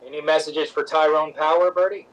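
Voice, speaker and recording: A man's voice: a little high, steady tone, a little fast, natural voice, rough recording. A man acting a brash, opinionated stage director in a 1950s-style radio drama, teasing and emphatic. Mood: breezy. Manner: wisecracking, emphatic, lecturing.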